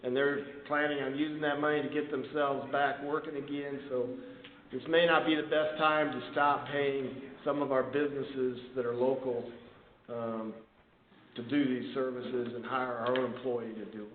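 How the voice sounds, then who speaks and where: A man's voice: mid-range voice, little pitch movement, moderate pace, narrow, tinny audio, a little hiss or hum. Man on an echoey phone conference line. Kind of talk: informal meeting remarks on a conference call.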